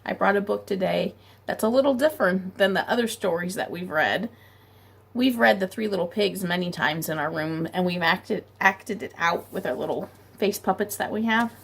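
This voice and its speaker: A woman, a preschool teacher, reading a picture book aloud to children, talking gently and asking them questions, with a gravelly, husky voice. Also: medium pitch, moderate pace.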